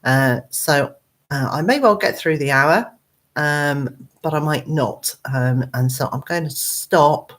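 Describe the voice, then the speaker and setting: A woman with a hoarse, croaky voice, hosting a casual live chat from her computer at home, rambling with many ums and uhs. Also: sits low, slow pace. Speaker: gardening channel host.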